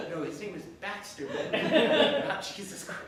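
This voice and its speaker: A person telling scripted comic fairytales live, with theatrical delivery and frequent asides. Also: medium pitch. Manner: theatrical, often breaking into laughter and asides. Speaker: storyteller performing comic fairytales. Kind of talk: live comedic storytelling, scripted narration. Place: live performance in a room with audience. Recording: echoey live room, distant mic, background noise.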